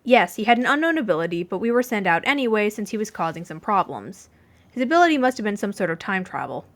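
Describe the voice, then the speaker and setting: Woman reading as a composed, deadpan mafioso man. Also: mid-range voice, fast. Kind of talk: fan fiction audiobook character voice.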